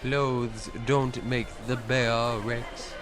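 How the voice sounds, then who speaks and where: A man's voice: mid-range voice, flat delivery, extremely slow, music in the background. A man voicing a bossy stuffed owl who is an impatient, superior know-it-all in a comic audio drama. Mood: smug, bossy. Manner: exasperated, superior, commanding.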